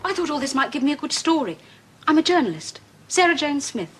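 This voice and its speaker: A woman's voice: high-pitched, big pitch swings, a little fast, fairly bright tone, a little hiss or hum. An actress playing a plucky, headstrong woman journalist in a television science fiction drama. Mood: plucky, defiant.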